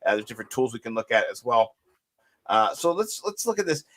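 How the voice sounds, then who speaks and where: A man with a raspy voice co-hosting a casual fantasy sports show, joking around and talking in loose restarts. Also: mid-range voice, a little fast. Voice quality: raspy today. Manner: animated, jokey, rambling with restarts. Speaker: co-host.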